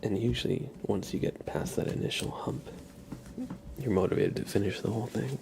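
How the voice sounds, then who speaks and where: A man doing a live ASMR stream, chatting casually with viewers in a soft, breathy whisper and rambling conversationally. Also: fairly low pitch, brisk, heavy background noise. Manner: rambling, conversational, addresses chat by name.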